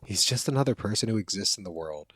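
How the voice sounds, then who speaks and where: A man's voice: on the low side, expressive, fast, fairly bright tone. A man playing a caring, protective young college friend in an intimate, one-sided audio roleplay, speaking in an earnest, conversational way. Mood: surprised, relieved.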